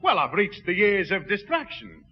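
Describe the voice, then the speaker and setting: An actor playing a jovial middle-aged Northern English bachelor who pompously muddles fancy words in a 1960s radio sitcom. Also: male voice, sits high, wildly swooping pitch, normal speed, husky, full character voice. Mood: confiding. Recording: muffled, hissy, reverberant 1960s radio recording.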